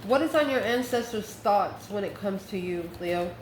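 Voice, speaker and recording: The recording is echoey, with clear noise behind the voice. A woman speaks at a medium pitch as she hosts a tarot reading. She talks casually in mystical terms and addresses her listener directly and with affection. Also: lively intonation, moderate pace. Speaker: tarot reader, host. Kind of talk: casual spoken tarot card reading to camera. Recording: echoey room, clearly noisy background.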